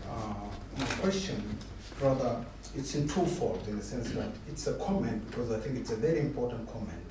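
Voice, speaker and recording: A man from South Sudan arguing forcefully from the floor of a public discussion, stumbling over restarts as he presses his point. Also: mid-range voice, lively intonation, moderate pace. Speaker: audience member asking a question. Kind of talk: impassioned comment from the floor. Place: large lecture hall. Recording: echoey lecture hall, distant mic, faint hiss.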